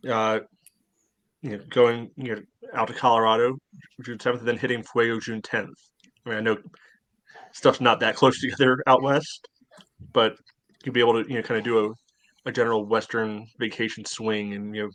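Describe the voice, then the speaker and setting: A man's voice: medium pitch, little pitch movement, brisk, fairly bright tone. A man co-hosting a casual sports podcast, conversational and ready to question or counter what is said. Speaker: podcast co-host.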